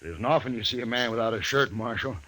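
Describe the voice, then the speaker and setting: An actor playing a calm, guarded saloon owner in a 1950s radio western. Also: male voice, medium pitch, fast, poor audio. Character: calm, guarded frontier saloon owner, fair-minded man.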